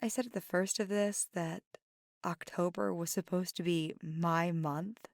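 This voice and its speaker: A woman voicing a playful, mischievous, kindly witch in an intimate, unhurried ASMR monologue that slips into near-whispers. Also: on the low side, darkish tone, no room sound, clean studio sound. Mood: giddy, excited. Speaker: ASMR audio performer.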